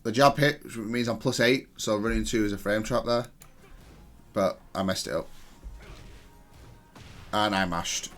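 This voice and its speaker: Low-voiced man giving analytical gameplay commentary. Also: unhurried, pauses often, a bit bright, faint music behind. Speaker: gamer reviewing own match.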